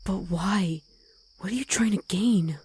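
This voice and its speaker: Woman voicing a stern, husky wolf girl. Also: medium pitch, measured pace. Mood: cold, suspicious. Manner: stern, commanding, often dropping to a hush. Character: gruff, protective female wolf girl, ex-soldier. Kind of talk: ASMR roleplay voice acting.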